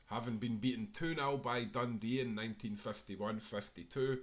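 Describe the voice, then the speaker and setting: Male Scottish narrator for a football history audiobook, very bright, forceful tone, mid-range pitch. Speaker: author reading own book aloud. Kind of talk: scripted narration, audiobook reading of sports history.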